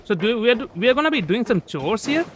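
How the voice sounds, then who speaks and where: A man with an Indian accent and Bengali as his first language, chatting casually and haltingly as he livestreams a game. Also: extremely high pitch, very animated, slight background noise. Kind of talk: casual chat. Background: soft background music.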